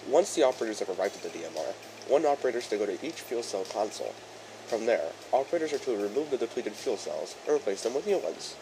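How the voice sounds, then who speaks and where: A man playing a courteous chief engineer who presents a training film in formal, measured, instructional narration. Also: fairly low pitch, big pitch swings, noisy background. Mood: instructive, formal. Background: music behind the voice.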